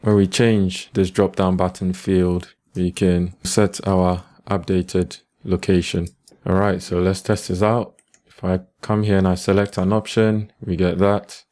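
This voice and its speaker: A man teaches programming step by step in a screencast. His voice is low and dark, with very little rise and fall, and he sounds calm and methodical. Faint music plays behind him. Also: unhurried. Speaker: programming tutorial instructor. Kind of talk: step-by-step screencast coding tutorial.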